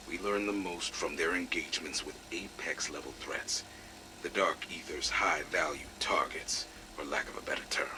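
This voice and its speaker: Guy doing a gruff old-soldier security chief, super low gravelly voice, blunt, game audio log with loud background noise. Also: lightly acted. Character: grizzled, blunt veteran military security chief. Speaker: military security commander. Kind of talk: acted audio log briefing.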